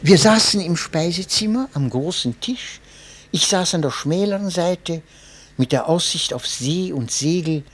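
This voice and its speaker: A man reading a memoir aloud as narrator, in an expressive and measured way. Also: a little high, slightly rough. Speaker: audiobook narrator.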